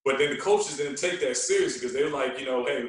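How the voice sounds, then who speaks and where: A man's voice: mid-range voice, fast. An American man in his forties from Florida, a radio personality chatting casually as a podcast guest and repeating words for emphasis. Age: 40s. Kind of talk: casual interview storytelling. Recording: very echoey, roomy sound.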